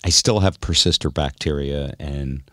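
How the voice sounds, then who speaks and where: A man hosting a casual radio show, bantering in a wry, deadpan way. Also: rumbling low, moderate pace, no room sound, studio quality.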